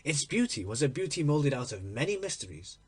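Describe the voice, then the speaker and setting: A man reading a literary story aloud in an expressive, measured, deliberate narrating style. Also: mid-range voice, slightly rough. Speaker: audiobook reader.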